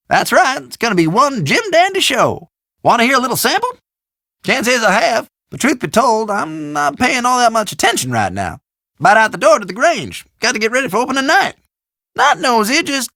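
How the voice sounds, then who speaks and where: Folksy, swooping Southern country shopkeeper. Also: male voice, high-pitched, vocal fry, sharp tone, no echo, studio quality, exaggerated voice. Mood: friendly, chatty. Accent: American Southern or South Central country. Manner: folksy, rambling, theatrical drawl. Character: folksy, chatty, forgetful small-town male shopkeeper. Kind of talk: video game voice acting.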